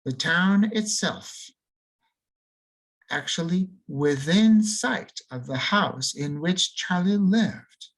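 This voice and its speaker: A man reading a children's storybook aloud, slowing down and lingering on key words, with free swings in pitch and loudness for emphasis. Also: rough recording. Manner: expressive, lingers and slows on key words for effect. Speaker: audiobook reader.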